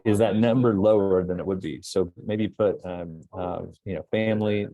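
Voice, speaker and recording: A man's voice: low-pitched, normal speed, no echo, phone-quality sound. A middle-aged man from the United States giving an easygoing motivational talk over a video call from an airport, with many fillers and restarts. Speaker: guest speaker. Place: airport with people nearby.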